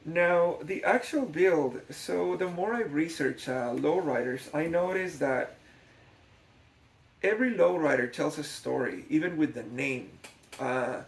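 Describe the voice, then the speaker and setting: A man's voice: a little high, expressive, unhurried, gravelly. A man from Guatemala gives an unscripted documentary-style explanation, thoughtful and hesitant, often repeating words as he goes. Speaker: scale model builder, documentary narrator. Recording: echoey, reverberant room.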